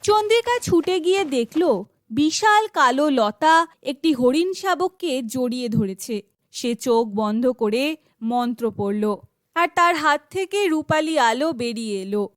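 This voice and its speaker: A woman's voice: high voice, dry recording. A woman reading scripted fairy tale narration for children, as a storyteller.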